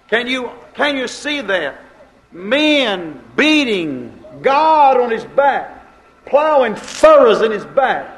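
High voiced preacher guy, folksy and dramatic, doing a church sermon. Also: poor audio. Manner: dramatic, acts out short quoted dialogue.

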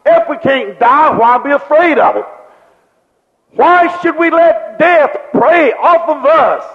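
A man's voice: very high-pitched, takes it slowly. A man preaching a sermon with fervent rhetorical questions and repeated refrains. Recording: dull lo-fi recording with long hall reverb.

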